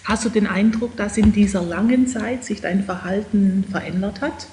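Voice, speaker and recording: A woman's voice: medium pitch, moderate pace, dark tone, slight background noise. Woman asking encouraging interview questions. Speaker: interviewer, seminar leader.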